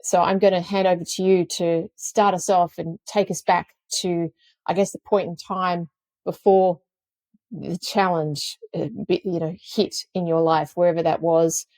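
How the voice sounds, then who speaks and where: A woman hosting a podcast, earnest and gentle as she introduces and encourages her guest. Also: lowish voice, little pitch movement, unhurried, no room sound. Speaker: podcast host.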